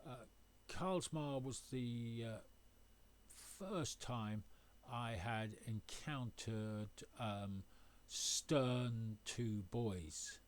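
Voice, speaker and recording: A man narrating his sailing voyage as a voice-over, pausing often with many uhs and ums. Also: mid-range voice. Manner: hesitant, full of uhs and ums. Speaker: sailing vlogger.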